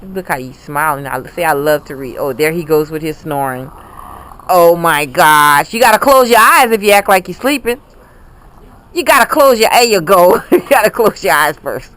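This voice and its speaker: Lively grandmother coaxing and encouraging. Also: on the low side, normal speed, low-quality recording. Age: middle-aged or older. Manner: encouraging, with exclamations and repeated prompts. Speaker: grandmother coaching a child to read. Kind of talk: casual home chat, coaxing and prompting.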